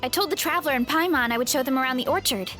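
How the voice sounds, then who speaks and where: A woman voicing a cheerful young woman with a big appetite in a video game, talking in a bright, friendly way and laughing easily. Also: sits high, rapid-fire, close to an everyday voice. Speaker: game character showing guests an orchard. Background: soft game music.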